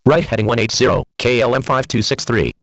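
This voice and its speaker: A man's voice: mid-range voice, fast, no room sound. A man speaking clipped aviation phraseology over an air traffic radio transmission. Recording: dull, band-limited air traffic radio.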